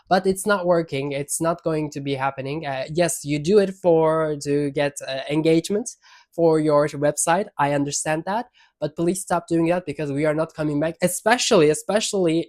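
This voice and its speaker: Turkish-accented man, chatty vlog talk. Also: a little high. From Turkey. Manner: frequent fillers and self-corrections, animated emphasis. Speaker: vlogger. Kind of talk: casual talk to camera.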